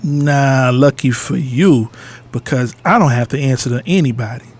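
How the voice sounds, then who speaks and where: A man's voice: mid-range voice, normal speed, slightly rough. A man playing a cocky, blunt playboy who narrates his affair in slangy, nonchalant, sensual fashion for an audio drama. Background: music with guitar.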